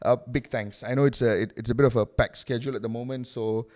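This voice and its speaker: A man speaks with a Malaysian accent at a middling pitch. He hosts a relaxed interview podcast, chatting in an encouraging, conversational way. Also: quick pace. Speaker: podcast host. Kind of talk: casual sit-down interview, mixing Malay and English.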